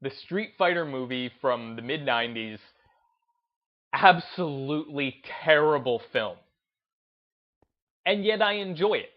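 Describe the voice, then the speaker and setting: A man hosting a gaming video essay, speaking in an emphatic, deliberate, unscripted way and repeating phrases to drive his points home. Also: on the high side, lively intonation, highs cut off. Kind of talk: opinionated commentary, unscripted talk to listeners.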